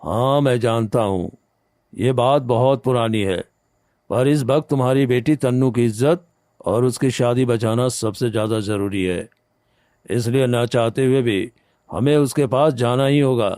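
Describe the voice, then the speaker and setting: A loyal, practical friend from a village cartoon tale, voiced by a man. He reasons persuasively in a mid-pitched voice, recorded dry. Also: unhurried, darkish tone, no room sound, lightly acted. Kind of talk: Hindi animated moral story dialogue.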